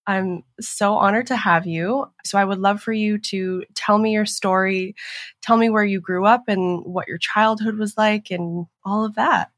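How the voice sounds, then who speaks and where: A woman's voice: medium pitch, steady tone, unhurried, dry recording, clean studio sound. A woman hosting a podcast interview, asking questions in an enthusiastic, complimentary way. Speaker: podcast host. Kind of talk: casual interview.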